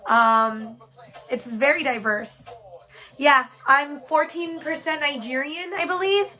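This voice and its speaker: A woman livestreaming from her room at home, talking to viewers in a loose, rambling way with plenty of fillers. Her voice sits high and sounds bright and crisp. She comes across as casual and chatty, the way someone sounds hosting her own stream. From New York. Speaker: livestream host. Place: home room with yellow lighting.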